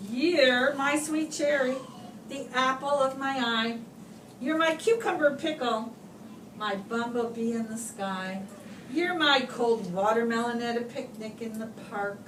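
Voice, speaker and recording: Slow-paced woman reading aloud to children. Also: on the high side. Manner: expressive, deliberate phrasing with pauses for young listeners. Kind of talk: children's picture book read-aloud. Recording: roomy, distant microphone, clear background noise.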